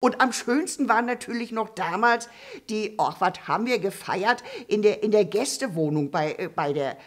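Lady doing a rambly old puppet lady, stumbles on words, bit raspy. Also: mid-range voice, animated, normal speed, lightly acted. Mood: rambling. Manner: stammering, full of fillers and restarts. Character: rambling, gossipy, slightly raunchy old female puppet. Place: dark theatre stage.

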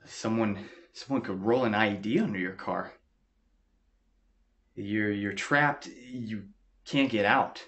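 Casting: traumatized young American soldier back from war, low-pitched, slow, halting and deferential, in a training film. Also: male voice, fairly bright tone, dull audio. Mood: anxious, distressed. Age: young adult. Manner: halting, subdued. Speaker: actor as patient. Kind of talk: acted therapy interview. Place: small furnished room, indoors.

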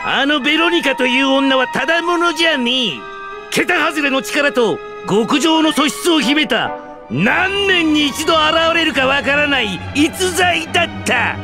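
A man voicing a huge boastful villainous monster gang boss in a video game, with a bullying, theatrical, rough gangster way of talking. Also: very high voice, animated, unhurried. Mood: furious, scolding. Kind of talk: video game cutscene acting. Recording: music behind the voice.

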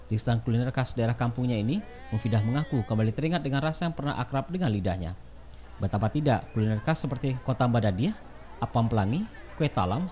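A man reading a scripted news report as a voice-over in a brisk, formal way. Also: mid-range voice, dark tone.

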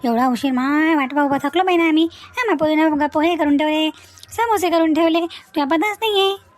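A woman acts out a proud village mother-in-law from a comedy cartoon. She talks fast. Her manner is bossy, emphatic and nagging. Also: sits high, close to an everyday voice. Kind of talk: Marathi comedy cartoon dialogue.

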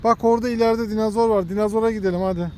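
Gentle dad coaxing a toddler outdoors. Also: male voice, sits high, fairly even intonation, rapid-fire, slight background noise. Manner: gently coaxing, points things out to a small child. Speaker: father filming his son. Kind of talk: casual family vlog. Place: outdoor park with cartoon statues.

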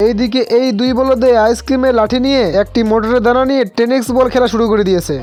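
A man's voice: extremely high pitch, fairly even intonation, fast. A man giving a slangy, mocking comic narration of cartoon stories, speaking colloquially and straight to the viewers. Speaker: narrator of a funny cartoon recap channel. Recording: music bed under the voice. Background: music.

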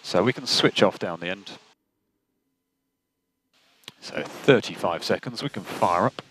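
A man working as a race engineer, giving calm, clipped technical instructions over team radio. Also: low voice, normal speed. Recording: noisy team radio, engine noise behind.

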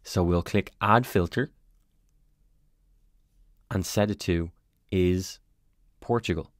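A man calmly narrating a step-by-step software tutorial as a voice-over on a screen recording. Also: sits low, slow pace, dry recording, clean studio sound. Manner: calm, step-by-step explanatory.

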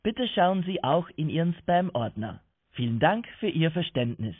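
A man's voice: a little high, big pitch swings, normal speed, breathy edge. A man delivering a scripted recorded announcement for an agency. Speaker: automated collection agency announcer. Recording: narrowband phone line.

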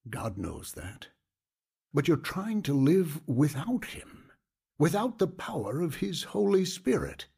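Patient counseling pastor: mellow, husky man, solemn radio drama teaching. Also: on the high side, sing-song, slow pace, full character voice. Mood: earnest. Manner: earnest, gently instructive, quotes scripture solemnly. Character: patient, earnest male pastor counseling a young woman. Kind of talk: audio drama dialogue, preaching.

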